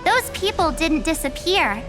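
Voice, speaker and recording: An actress playing a spirited young girl, earnest and playful, with an expressive delivery for a video game. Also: very high voice, measured pace, over music, exaggerated voice. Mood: earnest, reverent.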